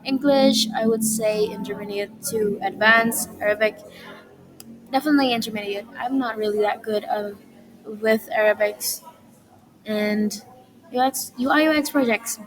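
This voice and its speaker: A woman's voice: medium pitch, moderate pace, faint music behind, rough recording. A young woman casually walks through her portfolio, listing items and often correcting herself. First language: Indonesian. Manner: rambling listing with frequent self-corrections. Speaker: student presenting her portfolio. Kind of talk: casual presentation walkthrough.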